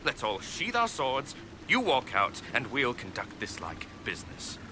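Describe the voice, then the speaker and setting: An actor playing a haughty, scheming noble lord in a video game, speaking in a formal, condescending way. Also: male voice, mid-range voice, huge pitch swings, measured pace, background noise. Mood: placating.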